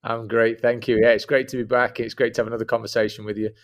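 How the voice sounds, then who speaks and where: A man's voice: mid-range voice, flat delivery, fast talker. A middle-aged man with a northern Midlands English accent, a guest talking reflectively and modestly, often repeating words. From Northern England and Midlands, UK. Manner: self-deprecating, repeats words. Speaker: interview guest, school principal. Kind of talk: casual reflective interview talk.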